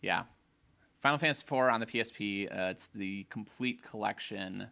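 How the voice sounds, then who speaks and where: A man co-hosting a casual gaming podcast, opinionated and rambling, a little hoarse, often restarting his sentences. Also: fairly low pitch, normal speed, pushed and bright. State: slightly hoarse. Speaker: podcast co-host.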